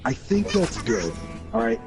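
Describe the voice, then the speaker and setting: A man's voice: high-pitched, huge pitch swings, a little slow, vocal fry. A man giving his opinions in a casual gaming discussion, explaining emphatically point by point. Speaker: gaming show panelist. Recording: music behind the voice.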